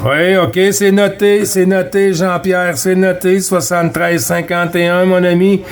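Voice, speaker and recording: A high-voiced man with a Quebec French accent works as a CB radio operator. He chats casually with other stations in radio procedure style. Clear static and clicks run through the recording. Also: flat delivery, unhurried. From Quebec, Canada. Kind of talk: casual radio contact chat.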